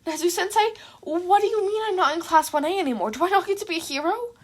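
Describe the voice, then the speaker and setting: A woman's voice: extremely high pitch, expressive, fast, voice pushed up. A woman voicing an anxious, self-doubting teenage boy student who questions things, in an audiobook. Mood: panicked. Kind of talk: fan fiction audiobook character voice.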